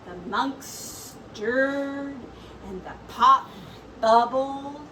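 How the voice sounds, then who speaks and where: A woman teacher reading a storybook aloud to children in an expressive, deliberate way with drawn-out words. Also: high voice. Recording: distant mic with clear background noise.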